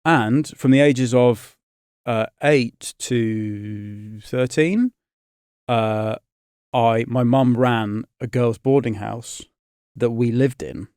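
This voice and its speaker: A man's voice: mid-range voice, takes it slowly, darkish tone, clean tone, dry recording, clean studio sound. A man in his thirties, raised in Scotland and England, chatting as a comedian guest on a podcast in a rambling, self-interrupting way. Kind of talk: casual interview chat. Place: podcast studio.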